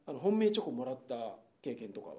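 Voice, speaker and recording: A middle-aged man, hosting a casual comedic chat and probing his partners with quick reactions and questions. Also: medium pitch, sing-song, dark tone. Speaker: radio show host leading the chat.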